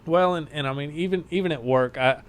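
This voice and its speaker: A man's voice: fairly high pitch, a little fast. A man with a Southern US accent, a car salesman guest telling stories in a casual podcast chat, restarting his sentences often. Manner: friendly storytelling with many restarts. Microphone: home podcast mic, moves off-mic.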